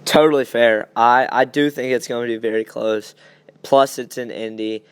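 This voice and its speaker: Clear-voiced young man talking sports. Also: medium pitch, steady tone, moderate pace. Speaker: sports podcast host. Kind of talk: casual sports chat, game predictions.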